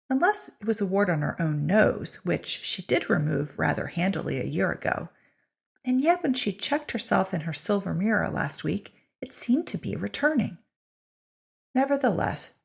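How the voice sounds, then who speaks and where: A woman giving an expressive, wry scripted narration of a story in a husky, creaky voice. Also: medium pitch. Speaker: audiobook-style reader of a short story.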